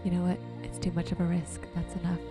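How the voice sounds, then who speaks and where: A woman doing casual, soft-spoken ASMR commentary while playing a game, murmuring to viewers in an unhurried way. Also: lowish voice, monotone. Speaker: video creator and host. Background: music.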